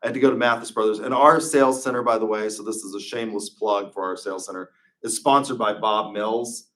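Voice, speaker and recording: A man's voice: lowish voice, steady tone, brisk, fairly bright tone. Chatty middle-aged man lecturing. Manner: chatty, rambling, many asides and restarts. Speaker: marketing professor. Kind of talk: casual classroom lecture.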